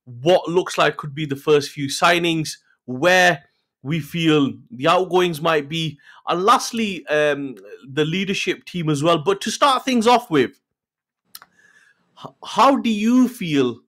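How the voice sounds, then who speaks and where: A man's voice: on the high side, a bit bright. A middle-aged man hosting a casual football chat over a video call, eager and full of fillers and restarts. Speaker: podcast host. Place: home room, indoors. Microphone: podcast mic on video call.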